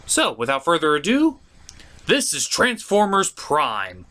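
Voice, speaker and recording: A man's voice: on the high side, wildly swooping pitch, measured pace, sharp tone. A man hosting an informal, opinionated comedic review, giving scripted commentary on a cartoon with exaggerated emphasis. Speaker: host of a cartoon review show.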